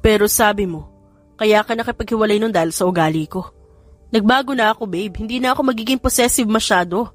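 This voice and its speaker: A man's voice: high-pitched, quick pace, no echo, faint music behind, natural voice. Woman acting out a pleading, lovesick young man.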